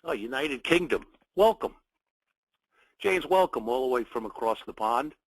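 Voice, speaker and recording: A man's voice: mid-range voice, animated, moderate pace, slightly rough, no echo, low-quality recording. A man with a cold, sounding a little nasal, hosting a live trading webinar and welcoming viewers in short phrases with plenty of fillers. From United States. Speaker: webinar host, trading educator.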